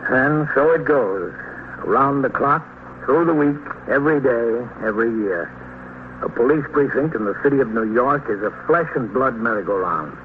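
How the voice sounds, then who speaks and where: Measured police captain on noisy old radio , acted by a man. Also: medium pitch, animated, phone-quality sound, natural voice. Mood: measured, grave. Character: calm, authoritative police captain narrating his precinct's night. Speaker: narrator and lead actor. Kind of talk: 1950s radio police drama acting.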